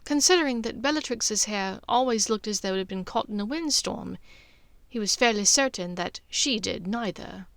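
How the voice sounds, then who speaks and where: A woman's voice: mid-range voice, lively intonation, moderate pace, a bit bright, no echo. A woman reading scripted fiction aloud as a story narrator. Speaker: podfic narrator.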